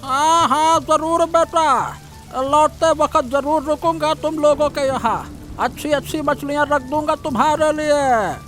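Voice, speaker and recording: Man playing very high-voiced cheerful village boatman. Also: steady tone, measured pace, fairly bright tone, soft background music. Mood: hearty. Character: cheerful village boatman uncle going fishing. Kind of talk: cartoon story dialogue.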